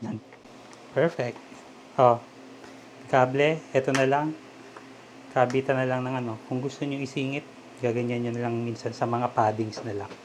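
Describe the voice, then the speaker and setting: A man's voice: medium pitch, fairly even intonation, normal speed, long pauses, rough recording. A Filipino man giving a casual step-by-step DIY demonstration, talking informally as he works with his hands. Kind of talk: casual step-by-step tutorial talk. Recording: clear background noise, ambient sound.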